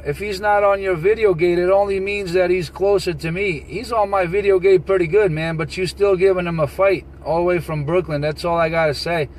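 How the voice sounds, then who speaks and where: A man with a voice a little above average pitch chats casually on CB radio. He repeats his phrases and tosses in "man" and "brother." A faint bed of radio and vehicle noise sits with the voice. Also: fairly even intonation, brisk, hardly any pauses, a little mellow, low-quality recording. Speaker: CB radio operator.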